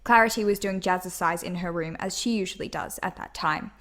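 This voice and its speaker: A woman's voice: on the low side, fairly quick, a bit bright, lightly acted. Woman acting a defensive tycoon's daughter. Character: defensive, principled tall daughter of a murdered tycoon. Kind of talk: radio mystery play testimony.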